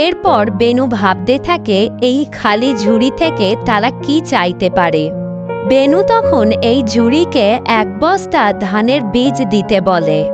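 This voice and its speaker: A woman narrating a scripted fairy-tale cartoon in an expressive storytelling manner. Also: a little high.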